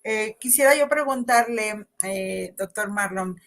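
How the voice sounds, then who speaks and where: A woman's voice: mid-range voice, a little slow. Hesitant woman interviewer. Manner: hesitant, many fillers. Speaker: interviewer, host. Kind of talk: interview questions.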